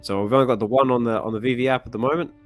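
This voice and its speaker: Slightly hoarse, chatty male streamer. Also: medium pitch, steady tone, rapid-fire, faint music behind. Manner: chatty, rambling with many fillers. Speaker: comic reading streamer. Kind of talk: casual chat.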